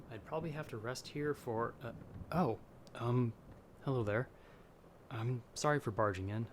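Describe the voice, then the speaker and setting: A man's voice: medium pitch, a little hiss or hum, natural voice. A man playing a humble young farmer's son in an audio roleplay, speaking hesitantly with courteous, old-fashioned formal phrasing. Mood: apologetic. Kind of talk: ASMR audio roleplay acting.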